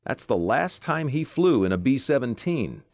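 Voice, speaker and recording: A man's voice: mid-range voice, very animated, moderate pace, gravelly, darkish tone, no echo. An American man reporting for television news, reading a scripted feature narration.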